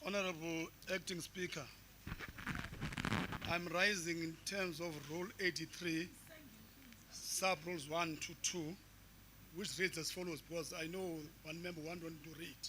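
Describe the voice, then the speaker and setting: A man's voice: fairly high pitch, little pitch movement, unhurried, long pauses, background noise. A man formally reading out procedural rules in a legislature chamber. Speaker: member of a legislature.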